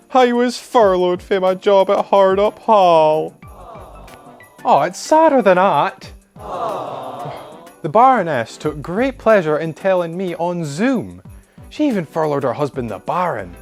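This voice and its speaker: A woman plays a cheeky pantomime servant lad in a lowish voice. The Doric accent from North-East Scotland is broad, and the delivery is chummy and mock-woeful, like a confiding chat with children. Light music plays along. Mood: cheery. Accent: North-East Scottish Doric. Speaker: pantomime performer. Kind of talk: chatty pantomime monologue to children.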